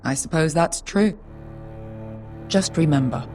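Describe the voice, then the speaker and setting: Low-voiced, sardonic sellsword. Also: female voice, slow pace. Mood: blunt, persuasive. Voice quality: low-pitched voice. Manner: sardonic, blunt, swearing freely. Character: tough, irreverent female sellsword, hard-drinking and crude. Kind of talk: video game character dialogue. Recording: music playing behind.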